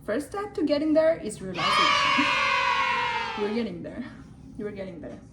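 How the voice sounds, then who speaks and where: A woman's voice: mid-range voice, big pitch swings, moderate pace, a loud crowd, very noisy. Chatty swearing woman in her twenties. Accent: mixed Singaporean-Indian. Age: 20s. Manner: chatty, swears often, swings between hushed and loud. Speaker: vlogger. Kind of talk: casual confessional chat to camera. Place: room at home. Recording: echoey room.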